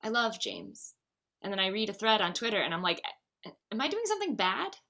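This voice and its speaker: Woman acting a bright, animated tipsy bride. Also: medium pitch, fairly quick, full character voice. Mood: uneasy, confiding. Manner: rambling, with frequent "like". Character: tipsy bride, chatty, playful and needy. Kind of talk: naturalistic comedy table read.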